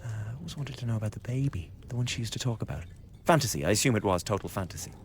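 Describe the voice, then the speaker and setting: An actor playing a glib, scheming young revolutionary in a radio drama, chatty and insinuating with a blackly flippant manner. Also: male voice, lowish voice, lively intonation, rapid-fire, a little hiss or hum, lightly acted. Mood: coolly calculating. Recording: studio radio drama with background ambience.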